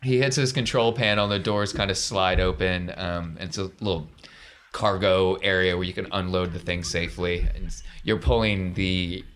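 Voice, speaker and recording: A man with a lowish voice runs a tabletop role-playing game. He sets scenes in a loose, conversational way, like chatting with the players. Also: steady tone, moderate pace, a bit bright. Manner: informal, conversational scene-setting. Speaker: game master.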